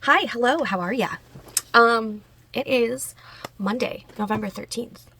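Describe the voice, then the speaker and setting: A woman's voice: on the high side, unhurried, heavy background noise. A woman chatting casually in a writing vlog, a bit congested and nasal, with frequent fillers and little laughs. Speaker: writing vlogger.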